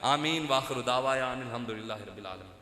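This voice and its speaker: Impassioned preacher in an echoey hall. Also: male voice, fast talker. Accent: Punjabi-accented Urdu. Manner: impassioned, rising to loud outbursts then dropping low. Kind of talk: fiery sermon. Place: outdoor religious gathering with loudspeakers. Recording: echoey hall with long reverb.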